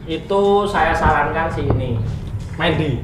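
A man, a guest in a comedy chat, delivering deadpan puns. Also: fairly high pitch, a little slow, roomy sound, a crowd in the background. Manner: deadpan punning jokes. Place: small indoor studio set.

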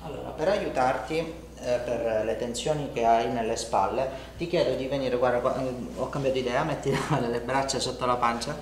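A man's voice: mid-range voice, fairly even intonation, normal speed, few pauses. Male chiropractor, middle-aged, informal and reassuring while instructing a patient; roomy sound with slight noise. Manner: informal, reassuring, often checks with "ok?" and "no?". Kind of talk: explaining and instructing a patient during a treatment session. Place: indoor treatment room in a home-like studio. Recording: echoey room, some background noise.

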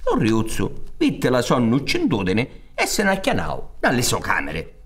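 A man's voice: mid-range voice, huge pitch swings, moderate pace. A man in his sixties with a Sicilian accent, telling a folk tale theatrically and expressively, with repetitions for emphasis. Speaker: storyteller narrator. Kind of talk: theatrical oral storytelling of folk tales. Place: theatre stage set up for radio recording. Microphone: large studio condenser on stand.

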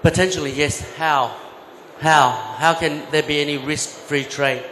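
A man's voice: fairly high pitch, steady tone, unhurried, fairly bright tone, slight background noise. A middle-aged man giving a live seminar talk through a handheld mic, explaining trades with examples and correcting himself as he goes. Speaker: conference speaker, former bank trader. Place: large expo hall stage. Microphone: handheld microphone. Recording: echoey hall with faint ambience.

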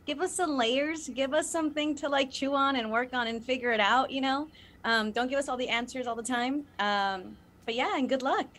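A woman's voice: a little high, a bit bright. A young woman actor giving casual advice in an interview on a slightly noisy video call. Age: young adult. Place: indoor room, on a video call.

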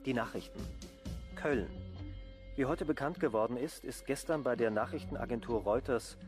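An actor playing a formal radio newsreader presenting a scripted bulletin. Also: male voice, medium pitch, moderate pace, music in the background, lightly acted.

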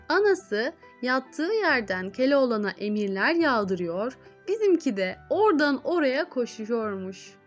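A woman reading fairy tales to children in an expressive storyteller's style. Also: fairly high pitch. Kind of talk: expressive scripted fairy-tale narration for children.

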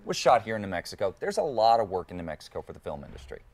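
Male morning TV host, 30s, lower voice, upbeat and chatty, studio lapel mic. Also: sing-song, darkish tone. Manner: upbeat, quick to fill gaps with "mm-hmm". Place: TV studio set, indoors.

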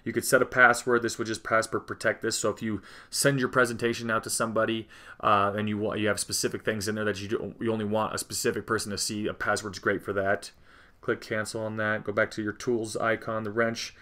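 A man's voice: fairly low pitch, flat delivery, fairly quick, bright, forceful tone. A man hosting a tech tutorial, talking casually through an on-screen walkthrough with frequent fillers and self-corrections. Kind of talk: unscripted screen-recording walkthrough.

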